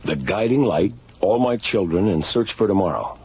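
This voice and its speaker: A man's voice: low-pitched, animated, moderate pace, dark tone. A man playing an easygoing, amused space station officer in a naturalistic radio drama. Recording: dull, faintly noisy radio recording.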